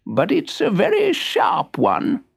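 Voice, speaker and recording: A very old male toy monkey from a storybook, voiced by a storyteller, quiet and wise. He speaks in a high, slow, careful voice. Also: huge pitch swings, gravelly, slightly rough, full character voice. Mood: gentle.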